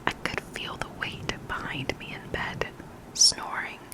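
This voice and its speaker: ASMR host for spooky story readings, fully whispered and breathy, intimate and deliberate delivery.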